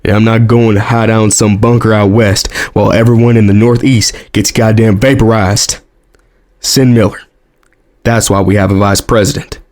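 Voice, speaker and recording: An actor playing a weary, guilt-ridden American president in an audio drama, grave and resolute, with an American accent. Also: male voice, fairly low pitch, fairly even intonation, normal speed, darkish tone, close to an everyday voice. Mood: stubborn, resolute.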